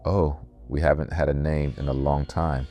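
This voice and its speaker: A man voicing a weary, cryptic innkeeper in his sixties in a horror story, speaking softly and with resignation. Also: rumbling low, flat delivery, normal speed, faint music behind.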